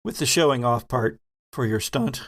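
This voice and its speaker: A man narrating a tabletop horror game as game master, in halting, suspenseful phrases. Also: medium pitch, fairly bright tone.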